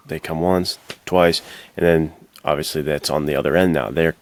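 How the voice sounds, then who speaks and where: A man's voice: a bass voice, fairly even intonation, normal speed. A man co-hosting a podcast, discussing films in an informal, slangy, conversational way. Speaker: podcast co-host. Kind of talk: casual film discussion.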